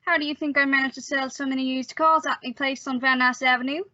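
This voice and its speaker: A woman playing a jovial, flattering Irish used car salesman in an old-time radio play. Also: high-pitched, fast talker, dry recording. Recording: dry, dull lo-fi audio.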